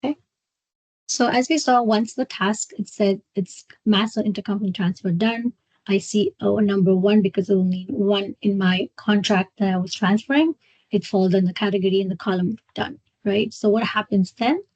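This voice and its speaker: A woman presenting a live software walkthrough as a trainer, explaining step by step in a halting way. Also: medium pitch, dry recording, rough recording. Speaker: software trainer hosting a webinar. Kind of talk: live screen-share product walkthrough.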